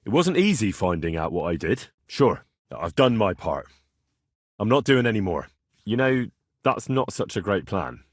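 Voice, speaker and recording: A man's voice: fairly low pitch, big pitch swings, moderate pace, no room sound, clean studio sound. A male actor playing a cheeky, blunt young swordsman who haggles cockily in a medieval video game. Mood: cocky bargaining. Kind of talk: video game character dialogue.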